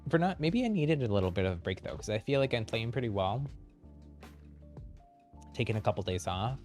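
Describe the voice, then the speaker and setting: A man with a fairly low voice gives casual commentary on his gaming livestream. He talks informally as he plays, and music runs clearly behind him. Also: very animated, fairly quick, a little mellow. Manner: chuckles often while talking. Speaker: livestream host playing a video game.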